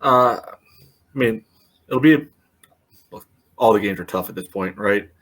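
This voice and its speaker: A man's voice: lowish voice, measured pace, fairly bright tone, breathy edge. A man co-hosting a soccer podcast, talking in a laid-back, plain-spoken way. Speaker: podcast co-host. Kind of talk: casual podcast chat.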